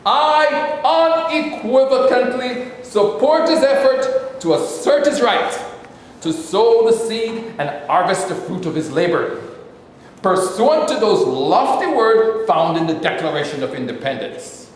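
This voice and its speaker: Grandiose minister played by a man, high-pitched slow orator, long hall reverb. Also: expressive. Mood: rousing. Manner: oratorical, drawn-out swelling delivery. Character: male minister, grandiose and preachy. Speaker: actor in a staged reading. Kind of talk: stage reading of a sermon.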